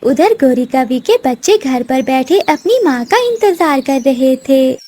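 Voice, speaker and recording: A woman's voice: sits high, lively intonation, measured pace, warm tone. A woman narrating a scripted children's cartoon story.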